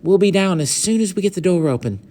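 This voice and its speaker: A man voicing a quick, excitable young boy. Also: sits high, voice pushed up. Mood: urgent. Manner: urgent, quick.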